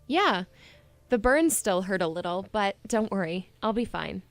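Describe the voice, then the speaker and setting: A woman's voice: mid-range voice, lively intonation, a little slow, soft background music. A woman playing a plucky, awkward young princess in an audio drama, talking in a casual, blunt way. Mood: candid.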